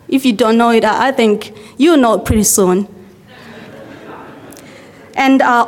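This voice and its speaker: A young woman from Zimbabwe giving a casual testimony to her church congregation, chatty and full of fillers. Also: fairly high pitch. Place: church hall. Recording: long hall reverb.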